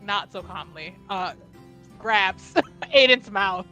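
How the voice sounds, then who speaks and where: A woman playing a tabletop game, narrating her character's actions with halting, laughing talk. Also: medium pitch, expressive, pushed and bright, faint music behind, rough recording. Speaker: player. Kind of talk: casual tabletop play.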